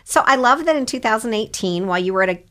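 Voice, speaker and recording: A woman's voice: a little high, animated, quick pace, bright, forceful tone. A woman, hosting a casual radio interview, chatty and rambling with frequent restarts. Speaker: host.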